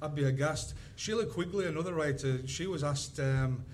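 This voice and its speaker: A man's voice: on the high side, moderate pace, a little hiss or hum. A man moderating a casual panel discussion, speaking in an informal, joking manner. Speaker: panel moderator and crime writer.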